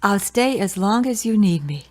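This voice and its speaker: A woman's voice: medium pitch, expressive, normal speed, lightly acted. Radio play mother, played by a woman: motherly, well-meaning, interfering, mid-range voice. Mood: defensive. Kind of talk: radio drama acting.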